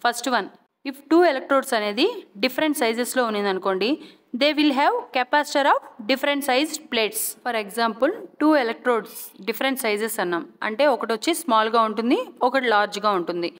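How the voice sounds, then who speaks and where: High-pitched Telugu Indian woman lecturing. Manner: deliberate, point-by-point teaching, switching into English terms. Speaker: physiotherapy instructor. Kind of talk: scripted educational lecture. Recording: long hall-like reverb.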